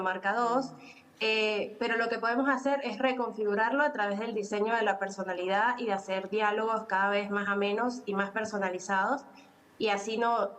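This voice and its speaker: A woman from Venezuela with a Venezuelan Spanish accent, explaining calmly as a conference panelist and pausing often on fillers. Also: medium pitch, rough recording. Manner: explanatory, with frequent filler pauses.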